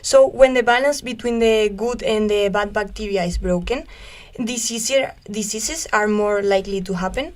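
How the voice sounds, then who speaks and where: A young woman from Spain, mid-pitched and eager, walks through science facts in a casual volunteer radio talk. She explains things warmly with a laugh here and there. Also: moderate pace. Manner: enthusiastic, explanatory, laughs easily.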